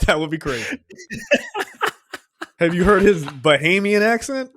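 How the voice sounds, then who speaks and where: A man co-hosting a pop culture podcast in casual, profane chat, getting excited and laughing often. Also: high voice, measured pace, no echo. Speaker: podcast co-host.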